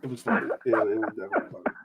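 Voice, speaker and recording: A man's voice: fairly low pitch, fairly even intonation, very fast, recorded from a distance, very noisy. A man hosting a casual sports fan podcast, talking in an informal, slangy, joking way. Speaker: podcast host.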